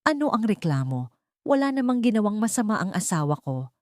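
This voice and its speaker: A woman's voice: mid-range voice, sing-song, fairly quick, dry recording, clean studio sound, voice pushed up, close to an everyday voice. A woman voicing an anxious husband who pleads for his wife in an audio drama story. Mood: anxious, startled.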